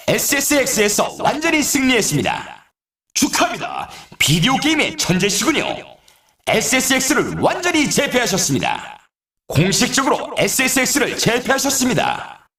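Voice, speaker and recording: A man playing an excitable video game snowboard race announcer, with an emphatic, showy broadcast delivery. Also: very high voice, roomy sound, full character voice. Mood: triumphant. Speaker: sports announcer. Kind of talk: scripted sports commentary for a snowboard video game.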